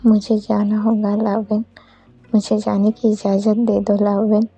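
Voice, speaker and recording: A woman's voice: mid-range voice, monotone, unhurried, mellow, slightly rough, faint music behind, low-quality recording. A woman voicing an anguished, protective male ghost lover in a told story, emotional and repeating phrases. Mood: sorrowful pleading. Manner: pleading, repetitive, emotional.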